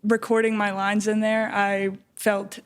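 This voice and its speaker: A young woman guest in a casual podcast chat, dry and deadpan in her jokes. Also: medium pitch, measured pace, smooth. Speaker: guest voice actor.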